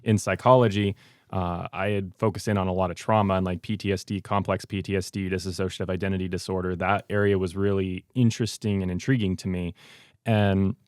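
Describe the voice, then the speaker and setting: A man's voice: low voice, little pitch movement, brisk, darkish tone, smooth, dry recording, studio quality. A man hosting a podcast interview, talking in an earnest, conversational way as he circles around to his questions. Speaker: podcast host.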